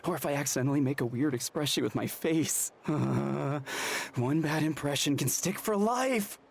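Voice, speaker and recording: A woman's voice: deep voice, moderate pace, vocal fry, darkish tone, slightly rough, full character voice. An actress playing a nervous, eager young woman soldier in a video game, stammering and gushing sheepishly. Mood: nervous, starstruck. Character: nervous, eager young female soldier, starstruck yet bold. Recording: game audio under music.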